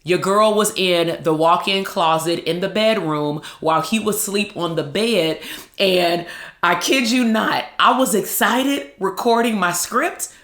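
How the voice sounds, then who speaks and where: Loud, lively woman coaching in an echoey room. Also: mid-range voice. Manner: lively, conversational, quick asides. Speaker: host, psychologist and branding coach. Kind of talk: casual motivational talk to camera.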